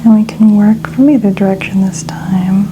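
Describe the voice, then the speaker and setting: A woman doing soft-spoken, breathy ASMR, often whispering as she gently and soothingly narrates each step. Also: medium pitch, fairly even intonation, normal speed, background noise. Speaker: ASMR creator styling a client's hair.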